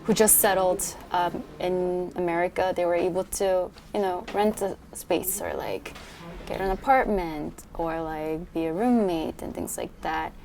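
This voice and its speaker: Halting young immigrant woman, lowish voice. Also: fairly even intonation, a little mellow, soft background music. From born in Korea, moved to New York in youth. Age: young adult. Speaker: interviewee, local resident. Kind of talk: casual spoken testimony.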